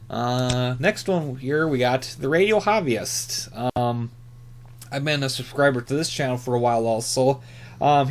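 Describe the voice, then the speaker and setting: Laid-back, rambling man chatting casually. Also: medium pitch. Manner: laid-back, rambling, halting with many fillers. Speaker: hobby radio YouTuber. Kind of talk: casual unscripted chat, reviewing channels.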